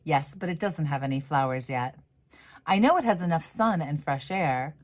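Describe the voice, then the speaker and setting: A woman's voice: low-pitched, animated, moderate pace. A woman voicing a hopeful, determined little girl in a storybook, earnest and eager.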